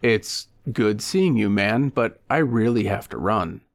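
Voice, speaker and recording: A man's voice: fairly low pitch, animated, unhurried, gravelly, dry recording, clean studio sound. A man reading the part of a confused, reluctant man in an audiobook, tentative and awkwardly polite. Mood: tentative, uneasy.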